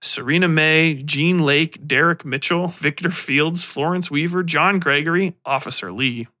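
A man with a fairly high, very bright and forceful voice talks off the cuff as a podcast host. He rambles through film commentary, sarcastic and exasperated, swearing freely. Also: a little slow.

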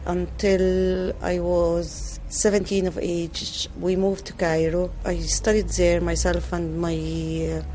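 Slow-speaking older Egyptian woman. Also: lowish voice, flat delivery, dark tone, a little hiss or hum. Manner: slow, deliberate recollection. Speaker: interviewee. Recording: music bed under voice.

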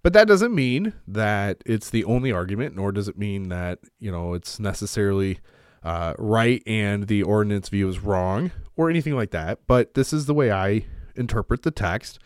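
A man's voice: lowish voice, animated, moderate pace, clean tone, dry recording, studio quality. A man hosting a podcast as a pastor, talking in a rambling way with many fillers while congested from a cold. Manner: rambling, with frequent uh fillers and restarts. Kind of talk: casual podcast talk on theology.

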